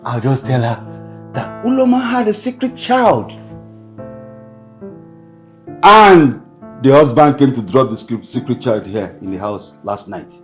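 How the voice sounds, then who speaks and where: An actor playing a secretive father who speaks to his daughter in a hushed, coaxing way. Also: mid-range voice, very animated, moderate pace, faint music behind, natural voice. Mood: soothing.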